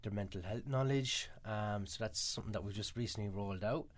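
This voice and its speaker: A man with an Irish accent, talking quickly and chattily as a radio guest, with many filler sounds. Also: on the low side. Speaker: guest from a mental health charity. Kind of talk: radio interview answers.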